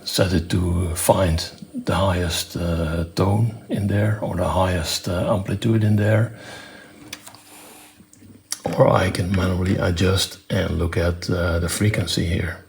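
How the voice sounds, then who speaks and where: A man presenting an unscripted technical demonstration in a matter-of-fact, step-by-step way, with halting phrasing. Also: low-pitched, fairly even intonation, gravelly. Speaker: presenter demonstrating own electronics project.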